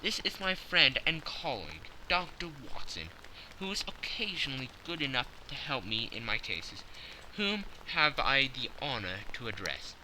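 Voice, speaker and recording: A man voicing a brisk, self-assured gentleman detective in an audiobook, crisp, dry and authoritative. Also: mid-range voice, big pitch swings, slight background noise, poor audio. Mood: courteous.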